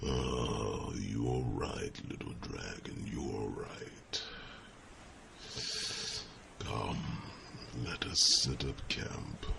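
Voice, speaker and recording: Gravelly, abyss-deep old dragon. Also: male voice, heavy background noise, heavily acted. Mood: grumbling, fond. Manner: slow, drawn-out, pausing, rumbling hums. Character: huge old dragon, lazy, hungry, ponderous companion. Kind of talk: ASMR fantasy roleplay.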